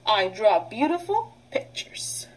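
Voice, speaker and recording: A middle-aged woman, a preschool teacher, leading a read-aloud vocabulary lesson in an instructional way, pausing for children to repeat. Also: medium pitch, very animated, darkish tone, breathy edge, rough recording. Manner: instructional, prompting with pauses for repetition.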